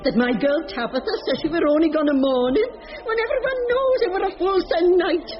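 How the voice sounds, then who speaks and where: Shrill, wailing peasant mother. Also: fairly quick. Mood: anguished, fearful. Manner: wailing, archaic rustic speech. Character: distraught, superstitious seventeenth-century country mother. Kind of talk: radio drama acting. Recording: dull radio recording, noisy background.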